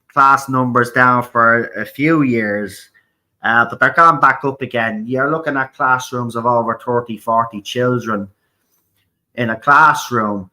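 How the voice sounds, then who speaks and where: A man's voice: medium pitch, pushed and bright, low-quality recording. An Irish man from Ireland hosting a talk show, speaking bluntly with repeated words, swearing and frequent "right?". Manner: blunt, repetitive, swears, keeps asking "right?". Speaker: host. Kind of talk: opinionated political talk.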